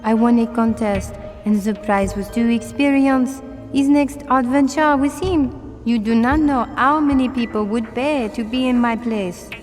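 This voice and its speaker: Actress as French game heroine-in-waiting, earnest, slightly high, over game music. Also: female voice, a little slow, in a large hall, natural voice. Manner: earnest, loyal, slightly broken English. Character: cheerful young French woman, adventure fan. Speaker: game character.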